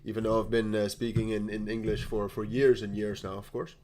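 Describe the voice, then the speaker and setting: A man's voice: fairly low pitch, flat delivery, a little fast, background noise. A young man with a Dutch accent hosting a casual crypto livestream, rambling with frequent laughs, repeated starts and the odd swear. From Netherlands. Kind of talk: casual livestream chat while trading.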